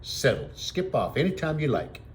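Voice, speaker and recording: A man's voice: mid-range voice, very animated, normal speed, a little hiss or hum, voice pushed up, natural voice. A man voicing a haughty, spoiled young prince who speaks in a curt, stingy, superior way, in a storybook reading.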